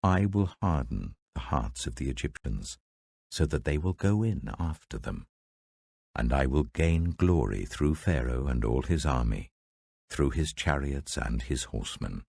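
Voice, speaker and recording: A man plays a majestic God of scripture, extremely deep and gravelly. The delivery is slow and solemn, full of grave authority, and recorded dry and close. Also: animated, darkish tone, no echo, exaggerated voice. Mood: commanding, grave. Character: God, a commanding, grave, majestic male deity.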